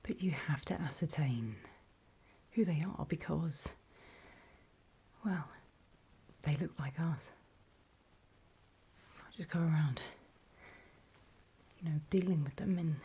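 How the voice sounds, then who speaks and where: A woman playing a grave, weary apocalypse survivor in a horror ASMR roleplay, speaking in a soft, breathy, hesitant whisper. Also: sits low, slow, pauses often, heavily acted. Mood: grave, wary. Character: grave, weary female apocalypse survivor briefing others on zombies.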